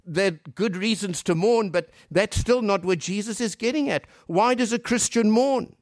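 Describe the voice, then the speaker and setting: Earnest male preacher, higher-pitched voice. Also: moderate pace, dry recording, studio quality. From Africa. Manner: earnest, rhetorical questions, dramatic swings between hushed and emphatic. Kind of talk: sermon.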